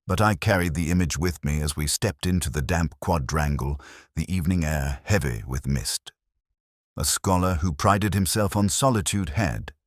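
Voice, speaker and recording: Male story narrator, extremely deep, solemn and measured yet expressive in pitch, for first-person detective fiction. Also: no room sound, studio quality. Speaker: audiobook narrator.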